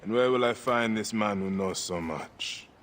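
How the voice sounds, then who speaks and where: An actor playing a grim, grieving Egyptian warrior avenger in a video game, solemn and terse. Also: male voice, fairly low pitch, moderate pace, fairly bright tone, a little hiss or hum, lightly acted. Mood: brooding. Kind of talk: dramatic video game cutscene acting.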